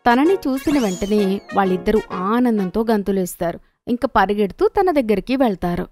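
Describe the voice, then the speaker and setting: A woman's voice: mid-range voice, lively intonation, fairly quick, over music. A woman reading scripted narration for a children's story in speech.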